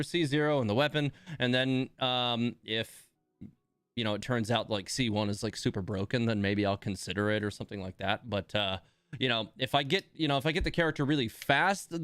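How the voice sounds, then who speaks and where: A man's voice: mid-range voice, fairly bright tone, clean tone, no room sound, studio quality. A man hosting a game livestream, chatting casually with wry, mildly grumbling informality. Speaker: game streamer, host. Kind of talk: casual livestream commentary while playing.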